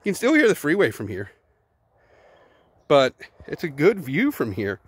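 A man's voice: a little high, wildly swooping pitch, moderate pace, slightly rough, no room sound. Chatty Texan man filming a walk outdoors. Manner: chatty, thinking aloud, repeats words. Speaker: vlogger exploring ruins. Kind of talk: casual walking tour narration. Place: outdoors at a ruined zoo. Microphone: handheld phone camera.

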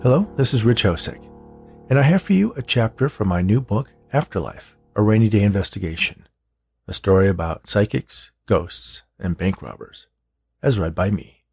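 A man's voice: lowish voice, expressive, normal speed, vocal fry, darkish tone, faint music behind. A man who is an author, narrating a chapter of his own story from the page. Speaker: author reading own book.

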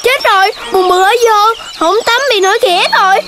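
An eager young cartoon animal, the cheerful ringleader among little friends. The voice is extremely high and childlike, chirpy and exclamatory, over loud music. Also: normal speed. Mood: frank. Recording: music behind the voice.

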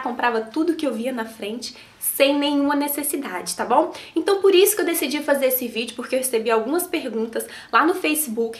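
A woman's voice: sits high, a bit bright. A Brazilian woman in her thirties, a makeup artist talking to camera in a beauty tutorial, chatty and affectionate, her voice slightly nasal from a cold. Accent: Brazilian Portuguese. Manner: chatty, affectionate, ends phrases with tag questions. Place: small indoor studio in front of a logo backdrop. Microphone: camera framing head and shoulders. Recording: roomy echo.

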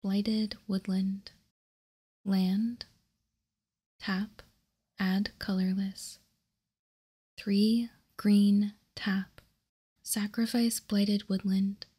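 Woman, ASMR whisper, breathy and husky, unhurried, even tone, close mic. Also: medium pitch. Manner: soft, deliberate, unhurried whispering. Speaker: host. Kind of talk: whispered ASMR, reading trading cards aloud.

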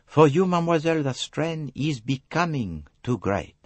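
A man voicing an elderly, courteous, shrewd foreign detective in an audiobook, speaking with slow, formal emphasis. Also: fairly high pitch, very animated, slightly rough, dry recording, voice pushed up. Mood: grave, insistent.

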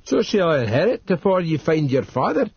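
A man voicing a taciturn old male servant, speaking slowly and gruffly. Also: fairly high pitch, very animated, a little mellow, no room sound, voice pushed up, heavily acted. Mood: dour. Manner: slow, gruff dialect speech. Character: taciturn old male retainer, dour and blunt. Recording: dull dry studio read.

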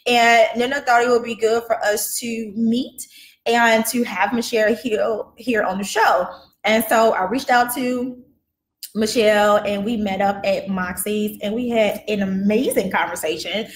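A woman's voice: on the high side, steady tone, measured pace, a little hiss or hum. A woman in her thirties hosting a podcast, chatting enthusiastically and affirming her guest, at a desk mic in an office room. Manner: enthusiastic, affirming with frequent mm-hmms. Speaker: podcast host. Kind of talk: casual interview chat. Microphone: desk microphone. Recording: echoey room, faint music.

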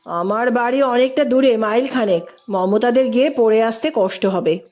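A woman's voice: a little high, moderate pace, a little mellow, highs cut off, close to an everyday voice. A woman voicing a polite male college professor in a story, mild and teasing, with an air of feigned innocence. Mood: feigned concern.

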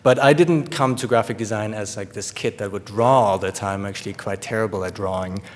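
A middle-aged man with a German accent gives a lecture from a podium in a large hall, talking haltingly with many fillers and restarts. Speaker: guest lecturer. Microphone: podium microphone. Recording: long hall reverb, faint rumble.

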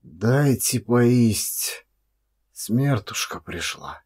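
A man voicing a frail, starved, meek vagrant in an audiobook, with a weak hoarse voice and slow, halting, terse replies. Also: medium pitch, animated, darkish tone, heavily acted. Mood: pleading, faint.

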